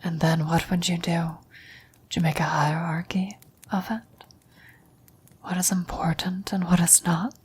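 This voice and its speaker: Woman acting a dying old woman's husky, slow whisper. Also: on the low side, flat delivery, a little mellow. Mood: grave, questioning. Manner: slow, solemn, measured delivery. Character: dying old woman, gentle and wise, bidding a lover farewell. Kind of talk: whispered ASMR roleplay monologue.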